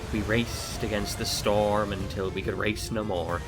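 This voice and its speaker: Boastful swashbuckling captain, slow, theatrical, audio play with prominent music. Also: male voice, lowish voice, steady tone. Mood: dramatic storytelling. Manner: grandiose, theatrical, slow storytelling. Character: boastful swashbuckling male pirate captain telling tall tales.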